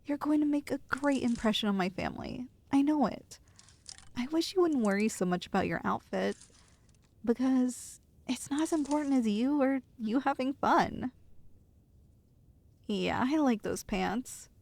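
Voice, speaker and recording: Woman acting as tender, teasing girlfriend, slightly creaky voice. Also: sits high, lively intonation, a little slow, a little mellow, full character voice. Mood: affectionate teasing, then playful. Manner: tender, playful, teasing, reassuring. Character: affectionate teasing girlfriend soothing her nervous partner. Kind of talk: ASMR roleplay, intimate acted monologue.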